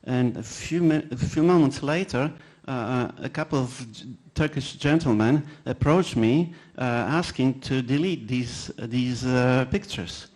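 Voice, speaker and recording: Halting Polish-accented man giving a talk. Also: medium pitch, a little mellow. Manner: halting, many fillers and restarts; anecdotal, self-deprecating. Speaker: conference presenter. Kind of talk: conference talk.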